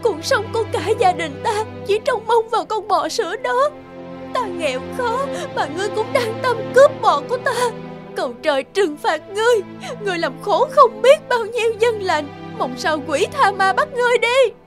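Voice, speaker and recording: A poor peasant in an animated folk tale. The voice is extremely high, wailing and pleading with defiant indignation, over music. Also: female voice, moderate pace. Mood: anguished indignant. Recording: music under the voice.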